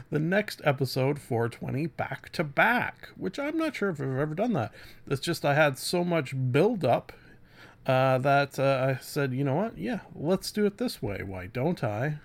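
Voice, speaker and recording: Rambling man with a creaky, animated voice. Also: fairly high pitch. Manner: self-deprecating, rambling asides. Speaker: podcast host. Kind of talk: casual rambling solo podcast review.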